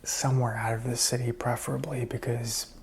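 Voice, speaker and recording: Man acting as low-key zombie apocalypse survivor, ASMR roleplay, soft whispering, even unvarying tone, hesitant, intimate, some background noise. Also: fairly quick, close to an everyday voice. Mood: hushed, uneasy. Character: calm, helpful man sheltering a stranger during zombie apocalypse.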